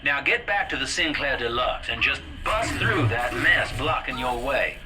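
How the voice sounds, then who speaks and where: An actor playing a folksy, wisecracking hustler in a retro game, with a chummy drawl. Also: male voice, medium pitch, expressive, unhurried, loud music behind the voice, natural voice. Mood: jovial, instructive. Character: folksy, slick fast-talking male hustler guiding the player. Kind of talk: stylized retro game voice acting, colorful period slang. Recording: noisy radio-style transmission.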